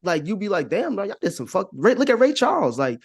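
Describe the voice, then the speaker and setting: A man's voice: high-pitched, very animated, fast, creaky, slightly rough. An American man talking casually on a podcast, giving opinions in a rambling, profane way and often repeating his phrases. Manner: rambling, repeats phrases, frequent "you know what I'm saying", profane.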